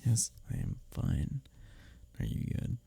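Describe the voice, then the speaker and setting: A man's voice: deep voice, wildly swooping pitch, slow, vocal fry, warm tone, slightly rough, full character voice. A man playing a bickering but secretly caring workplace rival in an intimate ASMR audio roleplay, with a teasing, sardonic manner. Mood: tired exasperation. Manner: intimate, teasing, sardonic, chuckling between lines. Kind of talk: ASMR audio roleplay, one-sided dialogue.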